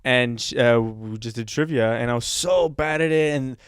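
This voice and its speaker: A man's voice: mid-range voice, normal speed, clear, resonant tone, no room sound, clean studio sound. A man co-hosting a podcast in casual chat, laid-back, swearing casually and laughing often. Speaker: podcast co-host.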